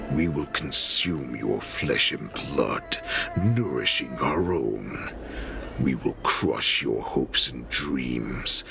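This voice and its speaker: An actor playing a savage, proud wolf-like warlord in a video game, growling with menace and contempt. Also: male voice, heavily acted. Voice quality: deep gravelly growl. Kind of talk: theatrical video game villain acting. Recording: dramatic music behind. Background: music.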